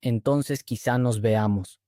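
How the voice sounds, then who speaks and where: Male host-teacher, mellow voice, even measured read, dry close sound. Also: medium pitch, dry recording. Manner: clear, even, measured delivery. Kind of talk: slow scripted dialogue reading.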